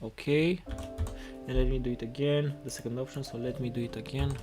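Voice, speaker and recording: A man from Romania hosting a casual live coding tutorial, halting and repeating his words as he goes. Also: medium pitch, fairly even intonation. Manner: halting, repeats words while reading chat. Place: indoors at a computer desk. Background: music playing, keyboard typing.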